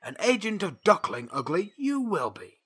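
A blunt, pompous agency sergeant from an audio drama, played by a man. His voice is on the high side and forceful, with lively swings as he hands down orders. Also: normal speed, breathy edge, full character voice. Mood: approving, pompous. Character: gruff, blunt male sergeant running a spy agency.

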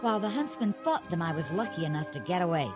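A woman acts out a young fairy-tale princess in a radio play, wounded, frightened and a little wry. Her pitch swings widely as she speaks. Music plays behind her. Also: very animated, fast talker. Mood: matter-of-fact. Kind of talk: audio drama acting. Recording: music under the voice.

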